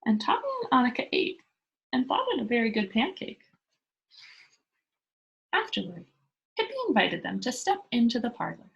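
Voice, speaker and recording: High, animated woman reading a storybook. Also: normal speed, creaky, pauses often, slightly rough, highs cut off. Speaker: storybook reader. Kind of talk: expressive children's read-aloud narration.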